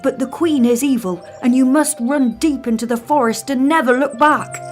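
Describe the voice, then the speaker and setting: A woman's voice: sits high, normal speed, music in the background, voice pushed up, natural voice. A woman voicing a kind-hearted huntsman in a children's storybook, earnest and urging. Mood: urgent.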